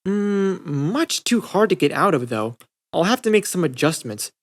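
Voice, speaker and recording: A man's voice: high-pitched, sing-song, moderate pace, pitched above the performer's own voice. A man voicing a refined, fussy young lady with a genteel, theatrical way of talking. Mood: fussy, gracious.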